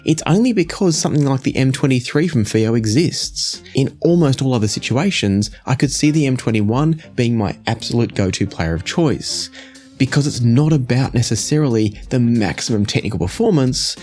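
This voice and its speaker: A man in his forties giving a measured, conversational product review to camera, explaining things as he goes. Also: mid-range voice, lively intonation, a little mellow, faint music behind. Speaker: audio gear reviewer. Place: small indoor home studio room.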